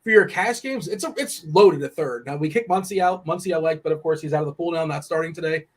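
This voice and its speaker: A young man analysing fantasy baseball picks in casual chat, rambling and correcting himself as he goes. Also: fairly high pitch. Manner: rambling, self-correcting, quick asides. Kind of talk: casual sports betting chat.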